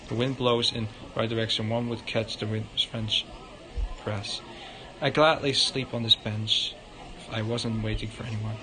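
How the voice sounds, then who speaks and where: A man livestreaming a visual novel, reading the narration aloud in a halting way and stumbling over words. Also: medium pitch, background noise. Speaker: game livestreamer.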